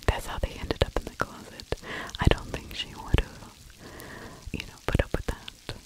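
A middle-aged woman whispering breathy true crime commentary in ASMR style, close to the microphone in halting phrases. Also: low-quality recording. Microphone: fluffy-covered microphone right at the mouth.

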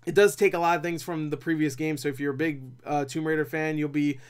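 A young man reviews movies in a voice pitched a little above average. He talks casually and without a script, rambling along with animated asides and a self-deprecating streak. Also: fast talker, smooth. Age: young adult. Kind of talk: casual unscripted review chat. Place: small cluttered room at home, indoors.

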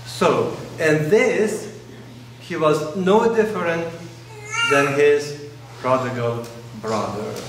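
Slow-preaching priest in an echoey church. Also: male voice, fairly high pitch, background noise. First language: Russian. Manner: slow, pausing delivery in short phrases. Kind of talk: sermon. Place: large church, indoors. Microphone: camera at a distance. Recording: very echoey church, distant mic.

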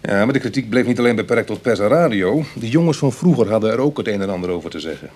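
An actor playing a charming, self-mocking ex-burglar in a Dutch radio drama, with a Netherlands accent and a wry, chatty manner. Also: male voice, fairly low pitch, animated, quick pace, darkish tone. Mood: wry, confiding. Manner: teasing, hesitant asides, chuckling irony.

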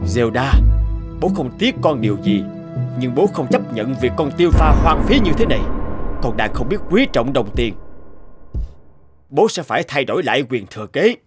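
A man's voice: medium pitch, very animated, moderate pace, long pauses, lightly acted. A man voicing an older company boss who sternly lectures his spendthrift daughter in a cartoon, with music behind. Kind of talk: animated cartoon dubbing. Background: background music.

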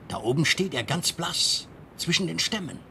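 An actor playing a gentle, protective fairy-tale helper in a radio play, deliberate and fond. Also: male voice, animated, creaky, full character voice. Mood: tender, resigned. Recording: rumbling background noise.

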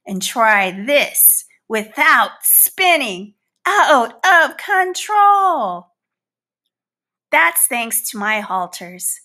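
A woman voicing a boastful, theatrical male housefly, a proud father who scorns butterflies, in an animated children's picture book read-aloud. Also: fairly high pitch, full character voice.

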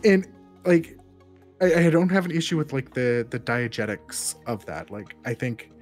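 A man's voice: medium pitch, lively intonation, moderate pace, faint music behind. A man co-hosting a podcast, casually discussing films and thinking aloud in loose, chatty fragments. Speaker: podcast co-host.

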